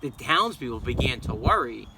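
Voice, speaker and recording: A man reading a children's story aloud in an expressive, dramatic way. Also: medium pitch, normal speed, vocal fry, background noise. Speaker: storybook reader. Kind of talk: children's read-aloud narration.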